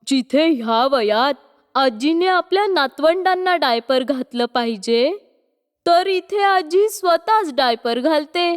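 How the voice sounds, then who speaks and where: A woman's voice: high-pitched, expressive, slow pace. A woman voicing a mocking, gossipy woman in a cartoon moral story. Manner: mocking, teasing. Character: mocking, gossipy woman ridiculing an older relative. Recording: long hall-like reverb.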